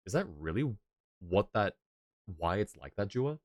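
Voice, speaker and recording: A man's voice: fairly low pitch, sing-song, unhurried, no echo, studio quality. A male streamer chatting casually while playing a game, rambling and laughing often. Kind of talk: casual gameplay chat.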